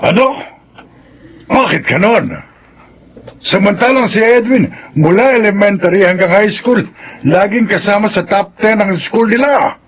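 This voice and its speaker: A man playing a demanding, exasperated family elder in a Filipino radio drama, emphatic and scolding, with a husky voice. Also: high-pitched, expressive, measured pace, fairly bright tone, exaggerated voice.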